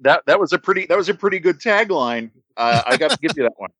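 A man's voice: a little high, expressive, fast talker, fairly bright tone, no echo. A man joining a live stream remotely, playful and teasing in casual chat.

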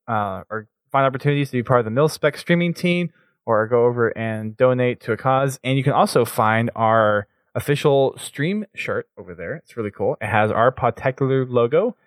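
A man's voice: mid-range voice, no room sound. A man hosting a charity live stream, upbeat as he announces giveaway winners and sponsors. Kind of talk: casual stream chat.

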